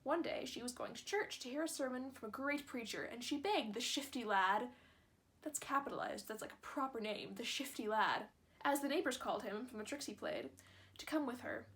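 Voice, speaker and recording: A woman's voice: on the high side, lively intonation, brisk, a bit bright. A young woman reading a fairy tale aloud as a host, with chatty, self-deprecating asides. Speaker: channel host.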